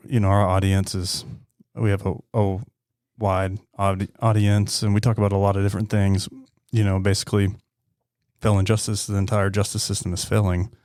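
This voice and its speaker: A man with a fairly low voice hosts a casual podcast. His delivery is informal and halting, with frequent pauses, and the sound is close and dry. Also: a little mellow, no room sound. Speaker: podcast host.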